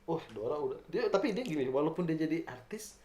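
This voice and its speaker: Male guest, Jakarta accent, fairly high voice, casual and jokey, echoey room recording. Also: big pitch swings, fast talker, darkish tone. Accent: Jakarta Indonesian. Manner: slangy, joking. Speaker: guest answering questions. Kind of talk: casual comedic chat. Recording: roomy, echoey indoor sound.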